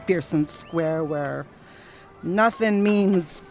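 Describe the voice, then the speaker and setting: Drunk, snobby 1930s wife, sardonic and defiant, rambling slowly, pitch leaping; radio drama with music underneath. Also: female voice. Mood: tipsy musing. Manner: rambling, sardonic, muttering to outbursts.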